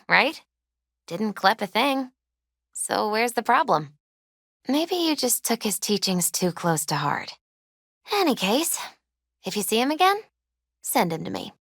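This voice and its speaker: A woman voicing a gentle, mystical young tarot reader in a video game, soft-spoken, measured and earnest, with a foreboding manner. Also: mid-range voice, no room sound, clean studio sound. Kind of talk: video game character dialogue.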